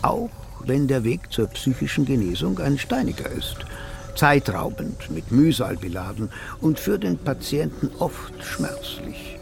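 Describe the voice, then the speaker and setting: Pompous older psychoanalyst, lively intonation, gravelly. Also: male voice, mid-range voice, unhurried. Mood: lecturing, self-assured. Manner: pompous, formal, wordy. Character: pompous older male psychoanalyst, smug and unflappable. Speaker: narrator and psychotherapist. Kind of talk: German radio drama acting, literary narration.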